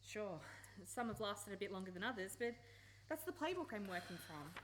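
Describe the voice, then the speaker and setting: A woman's voice: medium pitch, expressive, a little fast, close to an everyday voice, poor audio. A woman acting in a radio play as a conflicted, defensive young woman who speaks hesitantly and with emotion. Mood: confessing. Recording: faint background noise, live recording.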